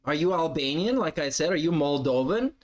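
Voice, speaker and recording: A man's voice: a little high, expressive, fairly quick. A man doing reaction commentary on stream, mocking and heckling with lots of swearing.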